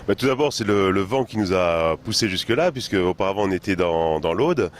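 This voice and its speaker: Very deep-voiced hesitant French man. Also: animated, fairly quick. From France. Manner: hesitant, many fillers and repeated words. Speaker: chef and bistro owner. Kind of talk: interview answers.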